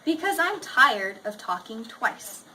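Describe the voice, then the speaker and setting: A woman's voice: on the high side, big pitch swings, slow pace, pushed and bright, slight background noise, heavily acted, rough recording. A woman voicing an exasperated, grumbling little girl from a storybook, speaking emphatically.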